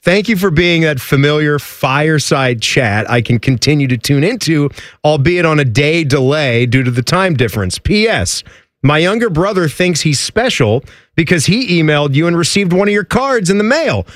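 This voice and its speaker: A man's voice: a little high, expressive, dry recording, studio quality. A man co-hosting a sports radio show, casually joking and reading listener email aloud. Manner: jokey, reads letters aloud, quick comebacks.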